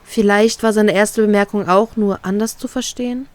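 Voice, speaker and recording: A woman's voice: mid-range voice, steady tone, moderate pace, dry recording. Woman reading an emotional first-person story. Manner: emotional, heartbroken inner monologue. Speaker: fan fiction reader.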